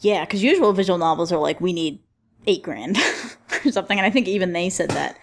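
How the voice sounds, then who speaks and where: A woman with a slightly low voice hosts a relaxed roundtable discussion. She talks informally, with slang and a joking streak, as if among friends. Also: a little fast. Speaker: podcast roundtable host. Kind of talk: casual roundtable chat.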